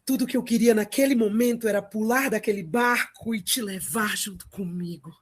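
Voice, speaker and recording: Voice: mid-range voice, moderate pace, slightly rough, close to an everyday voice. A performer with a Brazilian accent playing a valiant, devoted Trojan hero and father in a radio drama. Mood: tender, remorseful.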